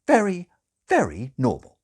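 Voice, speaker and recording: Man putting on a high-pitched, chirpy nurse nun. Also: huge pitch swings, a little mellow, slightly rough, heavily acted. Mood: chirpy, earnest. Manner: gushing, hurried, reassuring. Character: helpful, chirpy, scatterbrained female nurse nun.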